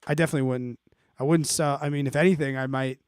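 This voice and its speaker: A man's voice: mid-range voice, fairly even intonation, quick pace, a little mellow, clear, resonant tone, dry recording, studio quality. A man hosting an investing live stream, explaining off the cuff in an informal, blunt way, thinking aloud and checking in as he answers viewer questions.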